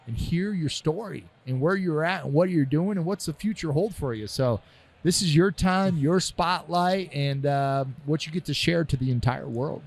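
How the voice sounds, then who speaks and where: A man's voice: on the high side, moderate pace, gravelly. A man hosting a podcast, joking and pushy in easy banter. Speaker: podcast host. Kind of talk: casual podcast interview.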